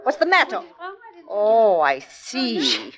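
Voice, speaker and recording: A callous nazi jailer in a 1940s radio play, bossy and curt, voice jumps around a lot. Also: male voice, sits high, wildly swooping pitch, slow, heavy background noise, exaggerated voice. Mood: cold, brusque. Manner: curt, commanding, sneering. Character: callous, brusque male Nazi prison officer. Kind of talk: 1940s radio drama acting.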